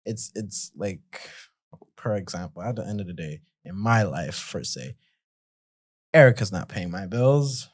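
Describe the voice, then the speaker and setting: A young man from Montreal hosting a podcast, playful and animated in casual chat. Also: deep voice, unhurried. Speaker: podcast host.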